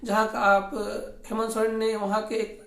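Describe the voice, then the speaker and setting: A speaker with a Jharkhand accent giving informal political commentary, often repeating and rewording phrases in a halting way. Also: medium pitch, completely flat delivery. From Jharkhand, India. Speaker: host of a regional news commentary channel. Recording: echoey, roomy sound.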